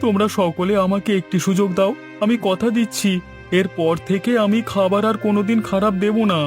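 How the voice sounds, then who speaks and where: A man's voice: high-pitched, steady tone, a little fast, soft background music, natural voice. A man voicing a crooked, pleading village eatery owner in a cartoon, with an apologetic manner. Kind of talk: cartoon story dialogue.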